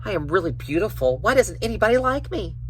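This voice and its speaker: A man's voice: high voice, very animated, fast talker, a little hiss or hum, voice pushed up. A man voicing a proud, vain male fish with a haughty, theatrical manner in a children's story. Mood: forlorn.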